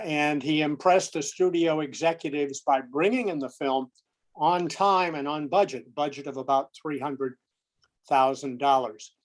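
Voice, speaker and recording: A man co-presenting a prepared but conversational lecture, with measured, deliberate phrasing. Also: a little high.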